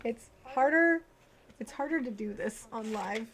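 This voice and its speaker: High-voiced woman, chatty bedtime story streamer. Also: expressive, a little slow, a bit bright, slight background noise. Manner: informal, chatty, addressing viewers directly. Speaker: livestream host. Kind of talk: casual livestream chat and children's bedtime story reading.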